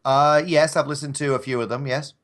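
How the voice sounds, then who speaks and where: A man's voice: on the high side, steady tone, fairly quick, a bit bright. A man chatting casually as a podcast guest, polite and hesitant, often restarting his phrases. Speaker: podcast guest, audio drama performer. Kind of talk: casual chat.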